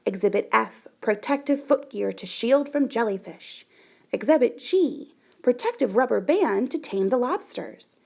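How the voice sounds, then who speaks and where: Female storybook reader, high and very lively, unhurried, expressive delivery for young listeners. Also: gravelly. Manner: animated, deliberate, dramatic emphasis. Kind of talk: expressive children's picture book read-aloud.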